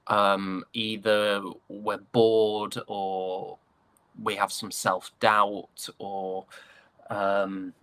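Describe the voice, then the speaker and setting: British male, low register, very slow delivery, self-deprecating actor in casual interview chat. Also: completely flat delivery, pushed and bright, smooth, no room sound. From United Kingdom. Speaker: guest, stage and voice actor.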